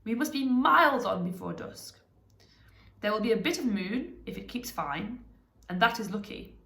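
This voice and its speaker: A clever old wizard from a storybook, acted out by a woman reader. The voice is creaky and confident, explaining things with brisk authority and firm emphasis. It rings in a roomy, echoing space. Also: medium pitch, expressive, a bit bright. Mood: brisk, urgent.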